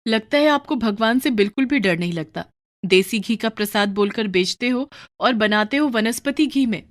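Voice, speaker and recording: Pious worried wife as a woman narrator acts her, earnest and moralizing, expressive swings in pitch, cartoon storybook drama. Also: moderate pace, lightly acted. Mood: reproachful.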